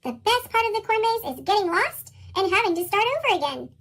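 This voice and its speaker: A woman's voice: big pitch swings, brisk, a bit bright. An American woman reading a children's storybook expressively, her voice processed into a chipmunk effect. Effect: sped-up chipmunk voice, pitched very high. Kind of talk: expressive scripted read-aloud narration for young children.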